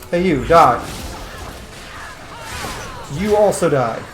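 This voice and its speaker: A man's voice: fairly high pitch, lively intonation, extremely slow, music in the background. A man hosting a video game let's-play with chatty, theatrical, sweary casual commentary. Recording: roomy echo.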